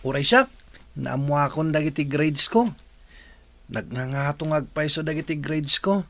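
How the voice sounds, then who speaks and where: A man playing a diligent, proud young student in a radio drama, with a creaky voice and earnest manner. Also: fairly high pitch, unhurried, a bit bright, no echo. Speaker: voice actor.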